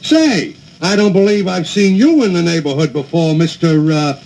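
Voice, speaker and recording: A man voicing a loud, pompous caveman who talks with mock-formal bluster. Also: on the high side, normal speed, creaky, rough recording. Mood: blustery.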